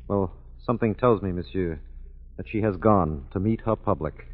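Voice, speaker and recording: An actor playing a proud, suave police inspector and rival suitor, formal and dryly superior, in 1940s radio acting style. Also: male voice, low voice, normal speed, narrow, tinny audio, heavy background noise, close to an everyday voice. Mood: dryly amiable.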